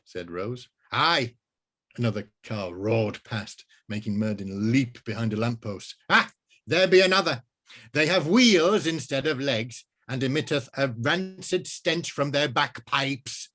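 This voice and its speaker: A man voicing a bewildered male wizard from the Dark Ages, with archaic, dramatic, easily alarmed speech in a storybook reading. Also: mid-range voice, lively intonation, a little slow.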